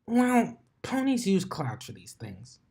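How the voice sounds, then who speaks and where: A man's voice: sits high, huge pitch swings, slow pace, vocal fry, darkish tone, voice pushed up, heavily acted. A man voicing a brash, bossy young female pegasus pony in a flippant way for a story reading. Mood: bossy, cocky.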